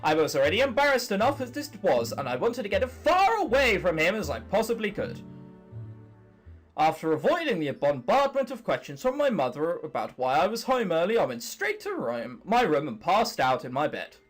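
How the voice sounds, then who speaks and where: A young troll girl voiced by a man tells her storybook tale. She is fretful and dramatic, with a high, very animated voice. Music sits faintly behind her. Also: moderate pace. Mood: weary, wistful. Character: young female troll narrator, flustered, embarrassed, defensive storybook heroine. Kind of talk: visual novel narration read aloud.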